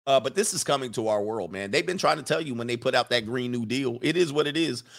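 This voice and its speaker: A man's voice: mid-range voice, quick pace, vocal fry, a bit bright. A man hosting a livestream, rambling casually, reading chat comments aloud and laughing often. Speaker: livestream host.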